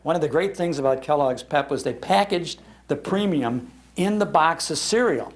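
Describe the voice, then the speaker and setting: An older man hosting a live talk, telling nostalgic stories in an animated, emphatic way. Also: fairly high pitch, normal speed. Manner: animated storyteller, emphatic repetition. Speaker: radio show host giving a talk. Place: public library talk before an audience.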